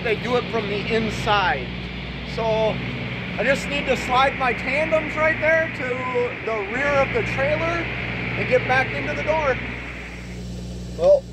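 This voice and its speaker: Slow-talking trucker man. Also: extremely high pitch. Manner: relaxed and rambling, many ums. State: feeling unwell, tired. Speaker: truck driver vlogger. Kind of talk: casual vlog narration. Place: truck cab and outdoor truck lots. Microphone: phone or dash camera. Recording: noisy outdoor truck yard audio. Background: engine and traffic noise.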